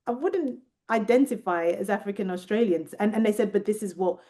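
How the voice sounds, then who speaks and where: A British Nigerian woman with a British accent, a researcher talking thoughtfully in an interview and often pausing to find her words. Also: medium pitch, dark tone. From Nigeria and Britain. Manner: thoughtful, searching for words, many restarts. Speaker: guest researcher. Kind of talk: reflective interview conversation.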